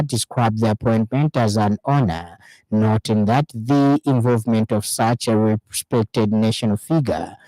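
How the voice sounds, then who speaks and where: A man with a Zambian accent reading a scripted radio news bulletin in a formal, measured manner. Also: on the low side, dark tone, dry recording, rough recording. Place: radio studio.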